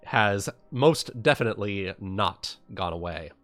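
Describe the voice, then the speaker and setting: A man casually narrating as game master of a tabletop roleplaying game, thinking aloud in a halting way. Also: lowish voice, animated, fairly bright tone, no room sound, faint music behind.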